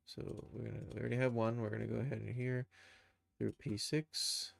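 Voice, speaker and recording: A man's voice: medium pitch, flat delivery, brisk, vocal fry, no room sound, clean studio sound. A man streaming a casual tutorial, thinking aloud informally as he works. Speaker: streamer, game developer and animator. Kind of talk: casual live screen-share tutorial.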